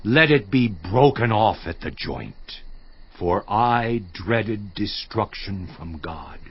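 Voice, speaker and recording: A man's voice: lowish voice, very animated, husky, lo-fi sound, heavily acted. An actor in a dramatized audio Bible playing an anguished, righteous man who solemnly protests his innocence to God. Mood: solemn oath. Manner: slow, solemn, impassioned declamation.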